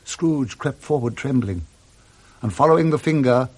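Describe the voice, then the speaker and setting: A man with a British accent narrating a Victorian ghost story in a deliberate, theatrical radio drama style. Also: medium pitch, animated, natural voice. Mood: grave, suspenseful.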